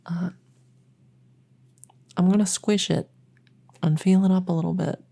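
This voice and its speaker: Friendly female witch vet, acted by a woman for ASMR roleplay: mellow, unhurried, gentle, goofy, halting and reassuring. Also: fairly low pitch, steady tone, exaggerated voice. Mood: playful, reassuring. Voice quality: soft, breathy, often whispering, with creak. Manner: gentle, chatty, halting, teasing. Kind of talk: soft ASMR audio roleplay.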